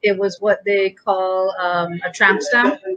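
A woman's voice: mid-range voice, measured pace, dull audio, crowd noise. A woman from Guyana answering interview questions over a video call, hesitant with many fillers and a dry humor. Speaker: guest. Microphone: video call webcam.

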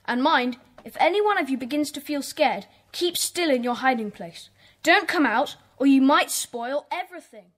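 A woman playing a bossy schoolboy club leader in a children's audio drama, giving brisk orders. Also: high-pitched, lively intonation. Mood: commanding. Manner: commanding, brisk instructions.

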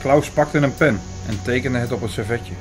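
An actor playing a skeptical man who narrates and joins the dialogue of a radio play in a measured manner. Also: mid-range voice, over music, natural voice. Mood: skeptical, reflective. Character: Hugo, skeptical male narrator and listening companion.

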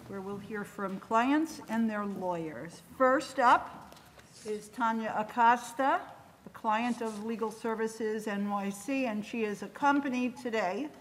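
A woman introduces the next speakers at a hearing, speaking slowly and deliberately. A tickle in her throat makes her cough. Her voice carries a long reverb with faint background noise. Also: on the high side. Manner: slow, deliberate, interrupted by coughs. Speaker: hearing moderator. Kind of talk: formal introductions.

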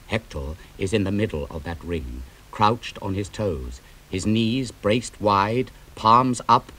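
A man giving a dramatic audiobook reading of epic poetry, theatrical, moving between hushed lines and ringing ones. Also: mid-range voice. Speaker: audiobook reader.

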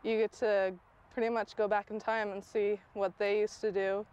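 A woman's voice: medium pitch, level tone, normal speed, a little mellow, clear, resonant tone, highs cut off. A young woman, an apprentice carver, talking casually outdoors.

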